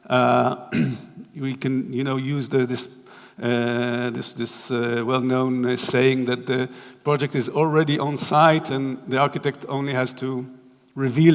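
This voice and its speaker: Israeli-accented male lecturer, halting delivery, mid pitch, long hall reverb. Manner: halting, many restarts and fillers. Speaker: guest lecturer. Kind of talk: architecture lecture.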